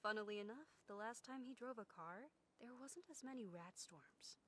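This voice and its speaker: A woman's voice: fairly high pitch, expressive, moderate pace, a bit bright, slight background noise. A woman playing a friendly, geeky plane enthusiast in a post-apocalyptic video game, chatty and enthusiastic. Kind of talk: video game character dialogue.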